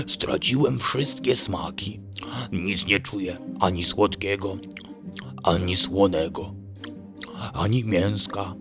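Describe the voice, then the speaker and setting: Over background music comes a slow, whimpering little fox from a bedtime tale, played by a man. He is a gluttonous, fretful soul who drags out his words and repeats them tearfully. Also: deep voice. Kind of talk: children's bedtime story character.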